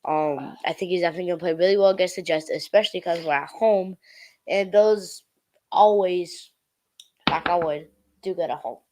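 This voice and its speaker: Female, slightly low pitch, podcast co-host, casual sports opinions, youthful filler-heavy phrasing. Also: normal speed, dark tone, a little hiss or hum, poor audio. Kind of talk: casual football opinion chat.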